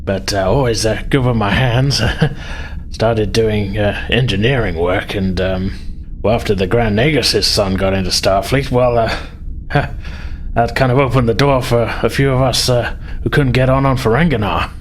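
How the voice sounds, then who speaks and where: A man's voice: fairly low pitch, moderate pace, close to an everyday voice. A man voicing an awkward, chatty male alien engineer in a tabletop game, rambling and hesitant. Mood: awkwardly friendly. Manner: hesitant, rambling, nervously chuckling. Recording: faint background noise.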